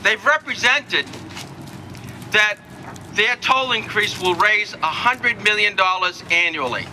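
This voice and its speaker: A man's voice: high voice, lively intonation, slow. A man giving a blunt, fact-packed speech at an outdoor rally without a microphone, stumbling over fillers and restarts. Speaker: rally speaker. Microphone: no working microphone, recorded from a distance. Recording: outdoor rally with loud traffic noise.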